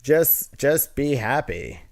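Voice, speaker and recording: A man's voice: mid-range voice, very animated, slow, vocal fry, darkish tone. Nasal man, earnest and rambling. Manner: rambling, earnest, many restarts. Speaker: podcast guest, artist. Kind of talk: casual interview chat.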